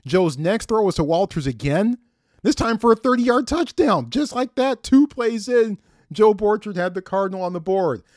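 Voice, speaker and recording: A man's voice: sits high, animated, normal speed, darkish tone, dry recording, studio quality. A middle-aged man hosting a sports podcast, telling game stories with enthusiastic, emphatic, conversational commentary.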